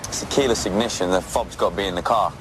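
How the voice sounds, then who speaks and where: A man playing a brisk, sceptical police officer in an audio drama. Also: lowish voice, lightly acted. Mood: matter-of-fact. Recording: distant, noisy outdoor street recording.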